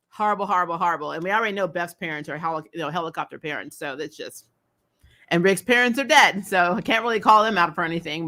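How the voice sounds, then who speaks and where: Bright, forceful woman giving blunt opinions. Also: lowish voice. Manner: emphatic, blunt and opinionated, with casual swearing. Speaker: host of a TV show recap channel. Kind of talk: casual livestream recap and opinion.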